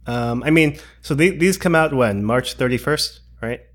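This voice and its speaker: Hesitant male tech podcaster, mid-pitched. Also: normal speed, fairly bright tone. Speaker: podcast co-host. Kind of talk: casual tech podcast chat.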